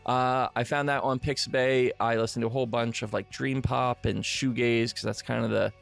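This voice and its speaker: Casual male podcast host with gravelly creak. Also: mid-range voice, fairly even intonation, normal speed, a bit bright, faint music behind. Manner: self-deprecating, informal. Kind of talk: casual solo podcast talk to listeners.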